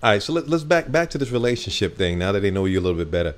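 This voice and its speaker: Low-voiced rambling talk show host. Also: male voice, sing-song, very fast. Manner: rambling with many fillers. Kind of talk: casual talk show chat.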